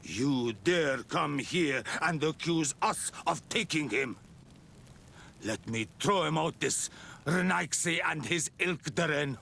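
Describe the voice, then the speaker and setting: Gravelly, hostile island warrior. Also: male voice, on the high side, animated, bright, forceful tone, slight background noise, exaggerated voice. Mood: hostile, indignant. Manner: accusing, contemptuous, deliberate. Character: hostile, hot-headed male island native warrior. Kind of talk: video game cutscene acting.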